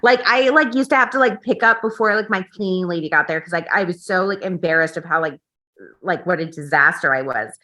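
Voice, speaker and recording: A woman's voice: on the low side, brisk, bright, forceful tone. A woman guest on a podcast, chatting casually in a rambling way, filling her talk with "like". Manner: rambling, heavy use of "like". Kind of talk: casual chat.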